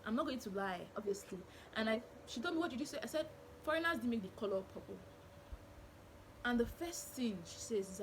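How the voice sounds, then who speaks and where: A young woman with a Nigerian accent, telling stories to camera in a small bedroom with animated gestures. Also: fairly high pitch, slight background noise, rough recording. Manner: animated, expressive, indignant storytelling with hand gestures. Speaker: vlogger. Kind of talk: storytelling to camera. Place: small bedroom with curtain backdrop.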